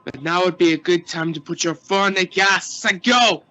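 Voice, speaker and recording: High, sharp-voiced exasperated expedition crewman played by a man. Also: moderate pace, muffled recording. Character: Lee, exasperated, bossy, nervous expedition crewman. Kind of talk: sci-fi radio play acting.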